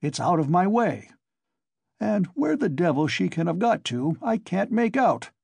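A man's voice: sits high, big pitch swings, a little slow, gravelly, darkish tone, husky, no echo, pitched above the performer's own voice, heavily acted. A man voicing a big, impatient, irascible visitor in an audiobook, exasperated and given to cursing.

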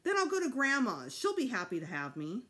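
A woman's voice: a little high, wildly swooping pitch, fairly quick, pushed and bright, pitched above the performer's own voice, full character voice. A woman voicing an indignant, sulky fifth-grade boy from a storybook in a protesting, exclamatory way. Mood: defiant.

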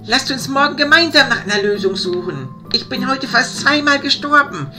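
Man voicing a weary, high-pitched young fantasy hero. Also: expressive, music in the background. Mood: friendly, tired. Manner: slow, tired delivery. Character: weary, good-natured young male adventuring hero. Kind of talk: fantasy audio drama.

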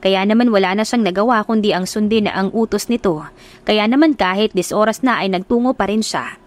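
A woman narrating a romance audiobook in a steady storytelling voice. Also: mid-range voice, fairly quick.

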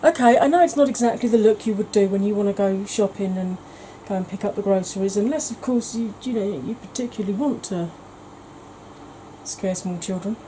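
A woman's voice: mid-range voice, brisk, a little hiss or hum, rough recording. A woman, chatting casually and wandering off topic in a home makeup vlog. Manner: rambling, self-mocking, soft-spoken at times. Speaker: makeup vlogger. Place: indoor home room, kitchen.

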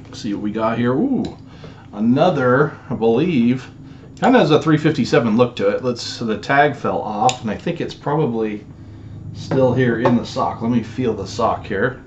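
A man's voice: medium pitch, animated, normal speed, lo-fi sound, slight background noise. A man in his forties casually showing items in a home office vlog, rambling with lots of fillers while he handles things on camera. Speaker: storage unit reseller. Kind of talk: casual show-and-tell vlog. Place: small home office, indoors.

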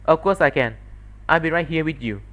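Visual novel best friend, male performer: easygoing, reassuring girl, casual delivery, moderately high pitch. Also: fairly quick, fairly bright tone, natural voice. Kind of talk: amateur visual novel fandub.

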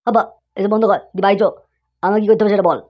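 A woman's voice: medium pitch, fairly even intonation, very fast, natural voice. A woman voicing a hot-tempered, exasperated young cartoon husband who scolds and blusters. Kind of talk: comic cartoon dialogue. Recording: dull lo-fi sound.

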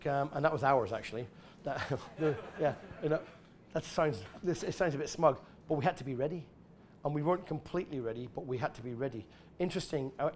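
Blunt animated middle-aged man. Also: fairly high pitch, a little hiss or hum. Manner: blunt, animated, swears freely, many restarts. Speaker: guest speaker at an expo. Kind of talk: informal talk with audience questions. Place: large exhibition tent with a small audience.

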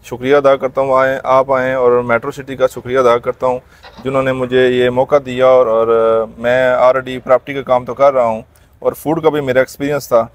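A man's voice: mid-range voice, no room sound. A man with a Pakistani accent answering courteously as a business owner in an informal interview. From Gujar Khan, Pakistan. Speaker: cafe owner being interviewed. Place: outdoors in front of a small cafe storefront. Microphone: lapel mic.

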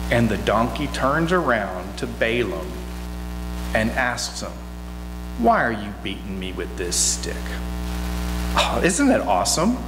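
A middle-aged man giving an informal, story-driven sermon from a church pulpit in a folksy, conversational manner. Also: mid-range voice. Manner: folksy, conversational, animated asides. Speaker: guest preacher. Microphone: gooseneck pulpit microphone. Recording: echoey hall, long reverb, mains hum.

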